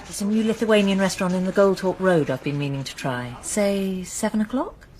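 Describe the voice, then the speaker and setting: A woman's voice: medium pitch, brisk, darkish tone, natural voice. An actress playing a confident, teasing woman in a radio drama, forthright in manner. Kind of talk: naturalistic radio drama dialogue.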